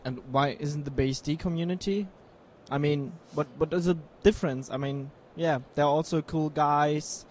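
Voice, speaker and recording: A man working as an interviewer, asking casual questions in a halting way with repeated words. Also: on the high side, a little mellow, a little hiss or hum.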